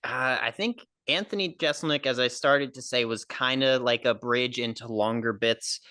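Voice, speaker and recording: A man's voice: medium pitch, normal speed, pushed and bright, dry recording, clean studio sound. A young man, a stand-up comedian, talking casually on a podcast in a nasal voice, rambling with lots of fillers. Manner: rambling, filler-heavy, laughs easily.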